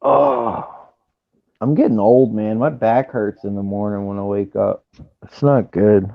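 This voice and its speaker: A man around thirty chats slowly and loosely, leaning on fillers as he wanders between thoughts. His voice sits low with a gravelly fry. It comes through a headset with dry, dull, lo-fi stream audio. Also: lively intonation, no room sound. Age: 30s. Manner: laid-back, rambling with fillers. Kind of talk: casual chat. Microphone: gaming headset.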